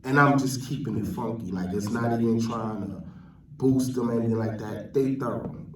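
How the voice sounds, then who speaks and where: A man's voice: mid-range voice, moderate pace, crowd noise. A man with a New York accent giving casual, slangy street-talk commentary to his viewers, often tagging on filler catchphrases. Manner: slangy, repeats "you know what I'm saying". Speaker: vlogger host. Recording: roomy, distant mic, noisy background.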